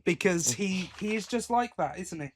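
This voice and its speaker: Higher-voiced British man chatting on a podcast. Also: expressive, moderate pace. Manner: chatty, rambling, self-interrupting. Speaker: podcast host. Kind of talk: casual chat.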